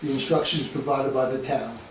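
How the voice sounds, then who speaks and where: A man's voice: medium pitch, fairly even intonation, a little fast. A man speaking as an aggrieved resident at a meeting, earnest and insistent, repeating his points. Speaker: resident and property owner. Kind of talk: unscripted meeting discussion. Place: indoor town board meeting room. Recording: very echoey room, distant mic, faint noise.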